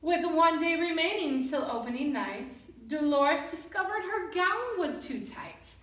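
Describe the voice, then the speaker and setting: A woman reading a children's story aloud expressively and deliberately, pausing to put questions to young listeners. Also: very high-pitched, lively intonation, a bit bright. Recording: roomy echo, distant mic.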